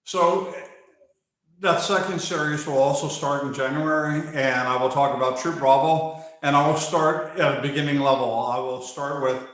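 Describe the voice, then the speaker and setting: A man from Germany with an American accent, hosting a live stream on his wildlife channel and talking unhurriedly with many pauses while he reads viewer chat. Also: medium pitch, a bit bright. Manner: unhurried, pausing with fillers, answering chat between thoughts. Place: outdoors or open area while working on a bike. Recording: very echoey space.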